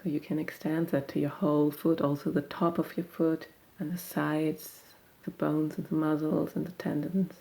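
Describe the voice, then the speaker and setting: A woman guiding a meditation in a gentle, unhurried, soothing manner, leaving long pauses between phrases. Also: sits low, flat delivery, gravelly, poor audio. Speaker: meditation guide. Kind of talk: guided meditation.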